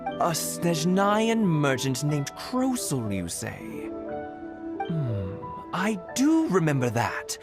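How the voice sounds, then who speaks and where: A man's voice: a little high, wildly swooping pitch, takes it slowly, over music, exaggerated voice. A man playing a nervous, servile male gem shop boss in a game, easily flustered. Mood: cautious, obliging. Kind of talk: game character dialogue.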